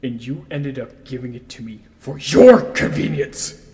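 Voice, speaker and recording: Man acting a bitter, wounded son, slow delivery. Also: mid-range voice, dark tone, lightly acted. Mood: bitter, resentful. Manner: accusing, halting, emphatic. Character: wounded, bitter son confronting his mother. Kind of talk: audio drama acting. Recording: faint background noise.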